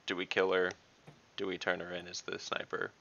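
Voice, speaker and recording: Gruff, very low male player with gravel, tabletop session. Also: monotone, bright, forceful tone, highs cut off. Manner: blunt, gruff, deliberate. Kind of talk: in-character tabletop play.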